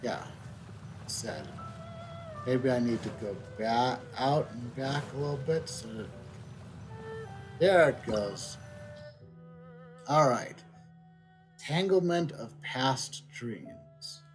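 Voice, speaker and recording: A middle-aged man giving casual gameplay commentary, thinking out loud as he streams. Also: mid-range voice, lively intonation, slow pace, long pauses. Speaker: game streamer. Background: game music.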